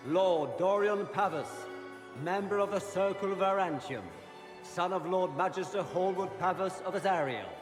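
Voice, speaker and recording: Male actor as pompous herald, high voice, ceremonial declamation, echoing hall, fantasy game. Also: normal speed, natural voice. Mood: formal, grand. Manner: formal, declamatory, grandly enunciated. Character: pompous male court herald announcing noble guests. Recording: echoey hall, music behind.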